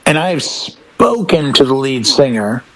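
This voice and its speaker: A man's voice: mid-range voice, animated, unhurried, fairly bright tone, slight background noise, poor audio. A man in a casual panel chat, telling anecdotes and laying things out step by step with emphasis. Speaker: DJ and podcast panelist.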